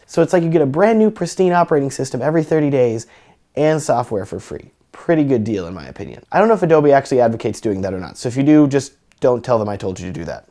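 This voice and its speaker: A young man with a slightly raspy, cold-roughened voice, teaching color grading in a chatty, joking tutorial. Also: mid-range voice, mellow. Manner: joking, chatty, self-deprecating. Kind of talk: casual tutorial talk.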